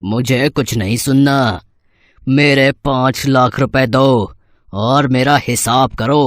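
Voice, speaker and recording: A man's voice: medium pitch, slow pace, dry recording, clean studio sound, natural voice. A man playing a threatening, bossy kidnapper thug in an acted moral story cartoon. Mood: menacing. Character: menacing male thug and child kidnapper, demanding money.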